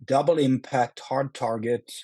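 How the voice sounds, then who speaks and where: Husky Norwegian-accented man, halting speech. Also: mid-range voice, steady tone, dark tone, no echo. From Norway. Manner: halting, many restarts and fillers. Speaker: actor, guest. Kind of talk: casual interview.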